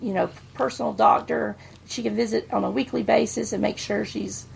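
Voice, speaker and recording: A woman's voice: mid-range voice, fairly even intonation, a little fast, creaky, slight background noise, poor audio. A woman, co-hosting a podcast and giving her blunt opinions in casual chat. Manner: blunt, outspoken. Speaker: podcast co-host.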